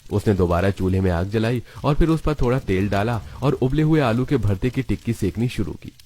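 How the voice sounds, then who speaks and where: A man with a low voice narrates a children's cartoon tale. He reads from a script in a storybook style, as the narrator of the story. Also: expressive, fairly quick, mellow, a little hiss or hum.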